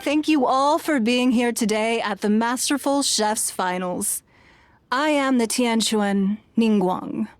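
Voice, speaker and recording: A woman voicing a composed, authoritative noblewoman official in a video game, speaking in a measured, formal way. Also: a little high. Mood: gracious, formal. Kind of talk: formal speech, game acting.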